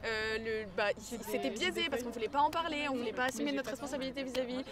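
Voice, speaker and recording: A young woman works through her thoughts haltingly, her voice high. Crowd chatter is clearly heard behind her outdoors. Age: young adult. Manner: hesitant, many fillers and restarts. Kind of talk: casual group discussion.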